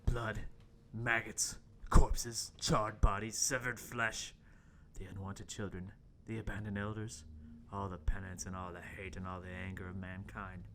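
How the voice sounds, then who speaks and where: A man's voice: on the low side, little pitch movement, measured pace, a bit bright, a little hiss or hum, voice pushed down. A man acting a theatrical, portentous intern hero who narrates a horror-comedy in a radio play table read. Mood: haunted, grave.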